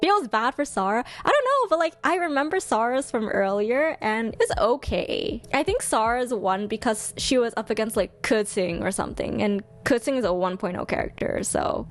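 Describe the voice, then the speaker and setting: A woman streamer chatting casually with her viewers, commenting in an expressive, gushing way and thinking her choices through out loud. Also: on the high side, normal speed, few pauses, soft background music. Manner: expressive, gushing, deliberating aloud. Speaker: game streamer and host.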